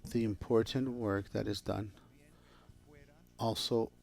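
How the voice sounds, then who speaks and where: A man in a church introducing a guest speaker, halting and often correcting himself. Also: on the low side, steady tone, creaky, a little mellow. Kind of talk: church announcement. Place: church sanctuary.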